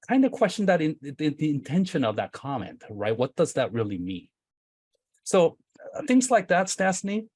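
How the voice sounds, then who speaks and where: A man's voice: fairly high pitch, a little mellow, husky, dry recording. A middle-aged man, a company executive, speaking reflectively on a video call, often hedging and asking "right?". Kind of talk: casual panel discussion.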